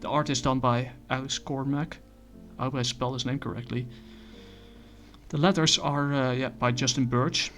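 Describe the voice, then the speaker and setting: A man casually reviewing a comic book, talking in a halting way with many fillers and restarts. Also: medium pitch, steady tone, fairly bright tone, faint music behind.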